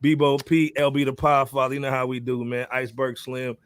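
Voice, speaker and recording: A man's voice: mid-range voice, completely flat delivery, brisk, no echo. A man hosting a casual hip hop podcast, laid-back, tagging "man" onto most phrases. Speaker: podcast host.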